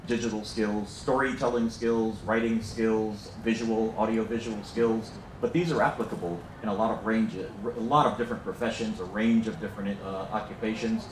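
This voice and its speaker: A man's voice: fairly low pitch, far from the mic, a little hiss or hum. American man joking with a big hall. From United States. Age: middle-aged. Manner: chatty and joking, many fillers and restarts. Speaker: program director. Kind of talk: informal welcome speech to an audience. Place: large lecture hall. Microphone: handheld mic, filmed from the back. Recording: roomy hall, heard from afar.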